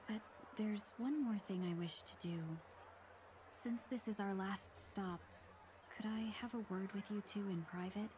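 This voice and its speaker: A woman's voice: mid-range voice, noisy background, lightly acted. A woman playing a refined, courteous young woman in a video game, speaking politely and formally with composure. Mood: polite, composed.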